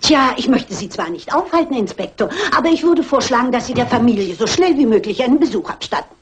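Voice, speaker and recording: An older woman playing a shrewd, persistent amateur sleuth in a radio crime play, husky, polite but insistent and wry. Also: on the high side, lively intonation, quick pace.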